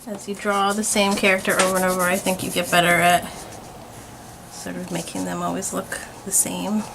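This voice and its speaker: A woman's voice: on the low side, flat delivery, vocal fry. Woman giving a studio tour, noisy background. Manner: unhurried, explanatory. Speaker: author and illustrator. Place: home art studio. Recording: noticeable background noise.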